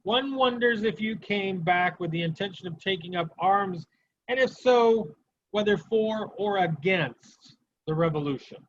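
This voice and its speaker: A man acts out a stern Soviet prosecutor in a dramatic reading. His voice sits high for a man, with a formal, clipped, sardonic manner of questioning. The sound is dull and lo-fi. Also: lively intonation, unhurried. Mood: probing, disapproving. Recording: dull, muffled lo-fi recording.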